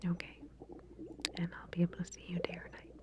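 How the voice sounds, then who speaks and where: A woman whispering softly and breathily in an ASMR roleplay, speaking to the listener as a calm, reassuring, professional researcher. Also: lowish voice, fast talker. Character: calm, attentive female scientist running a medical experiment.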